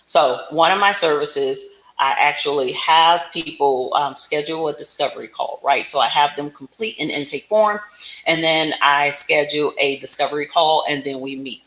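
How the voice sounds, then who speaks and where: A woman's voice: sits low, moderate pace, fairly bright tone. A woman giving casual instructional talk as a business coach, conversational and often checking in with the listener. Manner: conversational, frequent check-in tags like "right?". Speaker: business coach presenting a livestream. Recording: roomy, echoing room sound.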